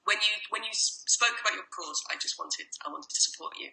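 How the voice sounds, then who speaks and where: A woman's voice: mid-range voice, fast, vocal fry, pushed and bright, poor audio. A woman with an English accent, a guest life coach chatting casually in an interview over a call, with many restarts. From Ireland. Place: home in a noisy city.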